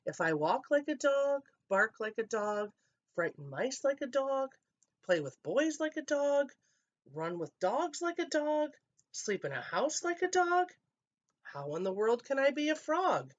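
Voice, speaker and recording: A woman's voice: sits high, very animated, measured pace, muffled recording, pitched above the performer's own voice. A woman voicing a young male frog in a storybook, sing-song and proudly convinced he is a puppy. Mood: eager, insistent.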